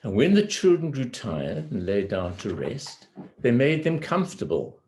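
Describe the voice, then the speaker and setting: A man telling a fairy tale in a deliberate manner, pausing between phrases. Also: mid-range voice, wildly swooping pitch, a little mellow, a little hiss or hum. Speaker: amateur storyteller. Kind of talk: measured fairy tale storytelling.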